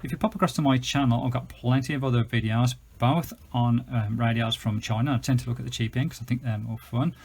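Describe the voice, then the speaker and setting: Man, British accent, gravelly fry, mid-pitched, informal hands-on review style, slightly hesitant delivery. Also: fairly even intonation, fast talker, hardly any pauses, darkish tone. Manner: chatty, informal, hesitant while demonstrating. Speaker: gadget reviewer and video host. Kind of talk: casual unscripted product demonstration.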